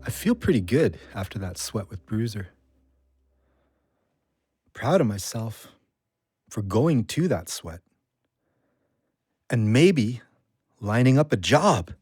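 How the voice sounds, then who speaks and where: A man's voice: on the low side, very animated, takes it slowly, long pauses, a little mellow, breathy edge, dry recording, studio quality, heavily acted. A man acting in an audio play as a reflective ex-convict trying to stay sober, with a Canadian accent and an earnest, colloquial manner. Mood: wry reflection.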